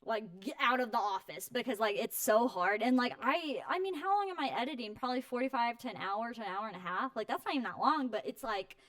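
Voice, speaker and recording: Woman, twenties, effusive high-energy interview guest, informal, quick to laugh, dry recording. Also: a bit bright, no room sound. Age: 20s. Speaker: interview guest, home warranty company representative. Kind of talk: casual chat.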